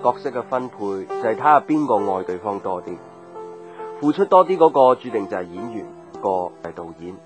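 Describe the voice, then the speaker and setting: A man's voice: on the low side, sing-song, a little fast. An actor playing a patient, devoted young man in love, earnest and reflective, in a Hong Kong Cantonese radio drama. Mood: melancholy, reflective. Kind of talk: radio drama dialogue and inner monologue. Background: background music.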